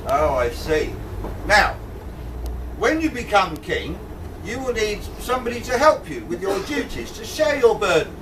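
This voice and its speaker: A man's voice: high voice, big pitch swings, a little slow. A man playing a forgetful, pompous old king in an amateur pantomime, muddled, hesitant and blustering. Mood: weary, muddled. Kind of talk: amateur pantomime stage acting. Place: amateur show in a pub, with audience. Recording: distant mic in noisy hall with audience.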